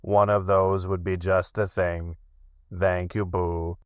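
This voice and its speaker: A man voicing a gloomy, sarcastic old male donkey with a slow, flat, sardonic drawl. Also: sits low, clean tone, no echo, voice pushed down.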